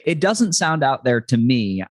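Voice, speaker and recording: A man's voice: mid-range voice, very animated, measured pace, no echo, clean studio sound. Earnest reflective man hosting a podcast. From New York City. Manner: earnest, reflective, talks in long run-on thoughts. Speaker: podcast host. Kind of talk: casual interview chat.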